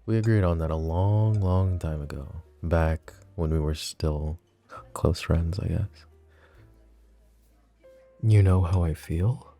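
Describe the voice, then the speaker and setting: A man performs a flirty, possessive mafia boss for an ASMR roleplay. His voice is very deep and dark, with a heavy fry running through it. He speaks intimately and teasingly, as if to a lover across the table, with soft music faintly behind. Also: slow pace, long pauses. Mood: serious, low. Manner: intimate, teasing, casual, addressing the listener directly.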